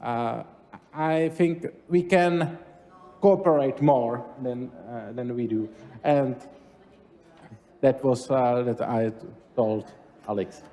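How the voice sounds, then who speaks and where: A man speaking at a conference talk, halting and searching for his words. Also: medium pitch, warm tone. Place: lecture hall. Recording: long reverb of a hall.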